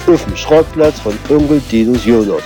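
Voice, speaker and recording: A man's voice: medium pitch, moderate pace, over music. A man narrating a parody audio drama in a scripted storytelling style.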